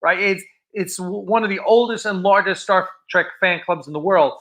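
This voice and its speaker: Chatty guy hosting a live show, highish bright voice, jokes around. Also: moderate pace. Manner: jokey, chatty. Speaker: livestream host. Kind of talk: casual live chat.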